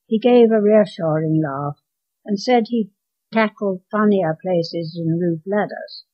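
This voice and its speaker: A woman in her nineties with an English accent reads her own story aloud in measured, deliberate phrases. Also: medium pitch. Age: 90s. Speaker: author reading own story. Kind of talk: scripted storytelling, read aloud. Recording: dull lo-fi home recording.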